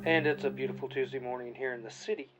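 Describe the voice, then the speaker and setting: A man's voice: medium pitch, fast, over music, natural voice, low-quality recording. A man playing a breezy morning radio announcer with scripted broadcast patter in an audio drama.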